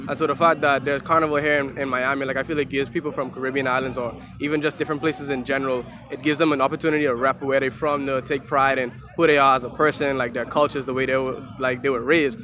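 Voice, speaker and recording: Quick-talking young man from the Bahamas. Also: medium pitch, flat delivery, a crowd in the background. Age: young adult. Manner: casual, laid-back, lots of likes and you knows. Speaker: interviewee. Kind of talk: casual street interview.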